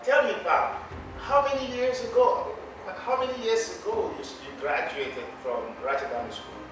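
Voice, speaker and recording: A man's voice: very high-pitched, big pitch swings, normal speed, background noise. A man who teaches English hosts an interview, talking in an emphatic coaching tone and urging his students on. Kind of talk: motivational interview. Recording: echoey, distant mic, music behind.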